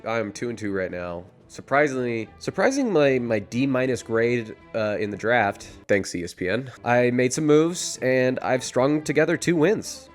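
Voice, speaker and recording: Young male vlogger, bouncy pitch, rambling and excitable, jokes at his own expense. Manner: hyped, rambling, self-mocking, excitable play-by-play. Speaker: fantasy football league vlogger. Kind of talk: casual rambling recap.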